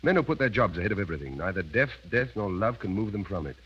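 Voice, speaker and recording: An actor playing a wry, loyal cavalry officer in a 1930s radio drama, urbane and sardonic, with a husky voice. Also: male voice, on the low side, very animated, fast, fairly bright tone, exaggerated voice. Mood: earnest. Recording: dull vintage 1930s radio broadcast.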